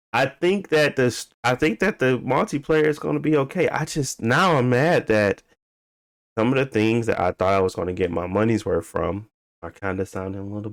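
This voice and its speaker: A man with a deep voice co-hosts a gaming podcast. He talks in a loose, informal way, working out his thoughts as he goes. The sound is dry, with little room around it. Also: dry recording. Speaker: podcast co-host. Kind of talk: casual video game discussion.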